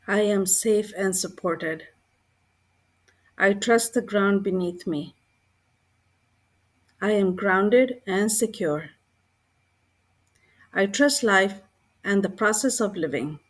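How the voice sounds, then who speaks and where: Calm gentle lady doing guided meditation, medium pitch, short unhurried phrases w pauses. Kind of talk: scripted guided meditation narration.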